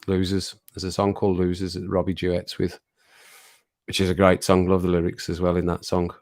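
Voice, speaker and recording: Chatty man with a slightly croaky voice. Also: deep voice, monotone, brisk, a little mellow. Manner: rambling, chatty, laughs often. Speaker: livestream host reading chat. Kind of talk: casual chat to a live audience. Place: at home with his pets. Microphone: home streaming mic with compressor.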